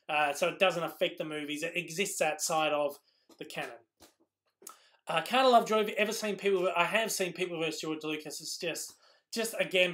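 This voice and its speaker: Casual Australian male streamer, high and fraying, reading chat questions and waffling. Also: fairly bright tone. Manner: rambling, lots of ums and restarts. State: losing his voice. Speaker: YouTube host answering live chat.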